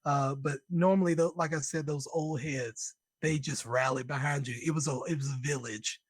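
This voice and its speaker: A man from Alabama with a slightly raised voice, chatting as a guest over a video call. He tells his stories in an animated way, laughing often and breaking into excited quoted voices. The sound is dry and close. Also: fairly high pitch, moderate pace, slightly rough, no room sound. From Birmingham, Alabama. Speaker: guest, concert composer. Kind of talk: casual conversation.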